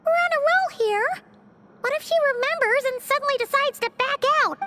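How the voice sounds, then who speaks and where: A woman voicing a tiny, excitable, childlike fairy companion in a video game, blurting in a squeaky, piping voice. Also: fairly quick, noisy background. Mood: urgent, excited. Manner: exclamatory, blurting. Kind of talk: video game character acting.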